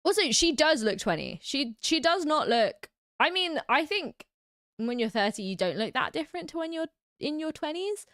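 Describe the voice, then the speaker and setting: British woman, streamer, chatty and giggly, bouncy pitch, fairly high voice, dry close mic. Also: big pitch swings, moderate pace, a bit bright, no echo. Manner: giggly, chatty, reads comments aloud. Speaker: livestream host. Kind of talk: casual chat reacting to live comments.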